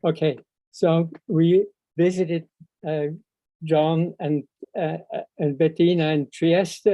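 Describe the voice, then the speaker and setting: An older man, a native Swiss German speaker with a Swiss German accent, giving an informal spoken tribute over a video call, halting and often searching for words. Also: a little high, flat delivery, mellow. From Switzerland. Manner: halting, searching for words, frequent long hesitations. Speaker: speaker at a memorial seminar. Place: video call, seated indoors. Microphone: video call microphone. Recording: muffled, echo-free video call audio.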